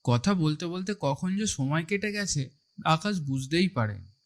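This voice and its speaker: A man's voice: fairly high pitch, expressive, a little slow. A man giving a scripted narration of a horror story. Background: music.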